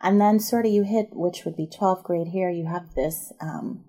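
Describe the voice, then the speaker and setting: Articulate woman in her 30s, raised in the Bahamas; relaxed, dark timbre, slightly low pitch. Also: normal speed. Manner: articulate, upbeat, explanatory. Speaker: podcast guest, MBA student and barrister. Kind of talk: conversational interview.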